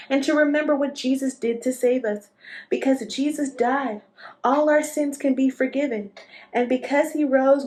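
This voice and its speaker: A woman narrating a children's storybook expressively, as a read-aloud host speaking to young listeners in an unhurried storytelling way. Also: on the high side.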